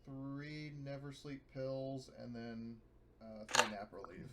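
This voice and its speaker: A man's voice: mid-range voice, slow. A man playing a tabletop game, chatting casually and thinking aloud in a hesitant way. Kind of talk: casual chat during a game. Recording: noisy microphone with clicks.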